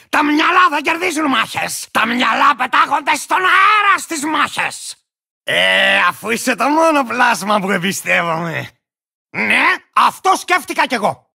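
A man voicing a manic, boastful, hot-tempered little warrior creature in a video game, with a gravelly, cracked voice and a ranting, barking delivery. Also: extremely high pitch, bright, forceful tone, full character voice. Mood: grumbling, exasperated. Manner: ranting, pompous, barking, bursting into cackles. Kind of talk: cartoonish video game character acting.

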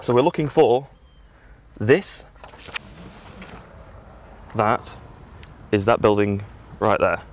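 A man's voice: on the low side, lively intonation, takes it slowly, pauses often. A young British man talking casually as a vlogger in a car. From United Kingdom. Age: young adult. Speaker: vlogger driving on a road trip. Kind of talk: casual vlog chat. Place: inside a moving or parked car. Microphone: dashboard action camera. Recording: car and road noise.

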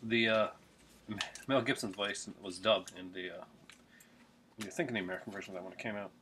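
A man's voice: lowish voice, fairly even intonation, brisk, fairly bright tone, slight background noise. A middle-aged man chatting casually in a home unboxing vlog, rambling with lots of fillers and restarts, recorded on a webcam at his desk. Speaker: collector unboxing a Blu-ray. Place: small home room, indoors.

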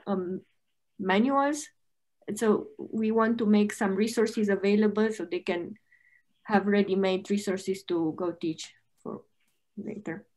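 Woman, panelist, thoughtful, some fillers, video call from home. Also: medium pitch. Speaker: conference panelist, trainer. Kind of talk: unscripted panel answer. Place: bedroom at home. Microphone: video call, laptop camera.

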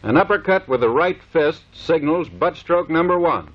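A man's voice: a little high, lively intonation, normal speed. A man giving scripted, matter-of-fact instructional narration for a training film. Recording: dull lo-fi 1930s film soundtrack.

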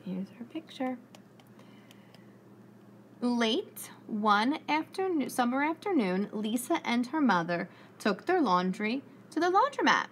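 Female preschool teacher, read-aloud with questions: encouraging, higher voice, very expressive, some room noise. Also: slow pace. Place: indoors, school classroom.

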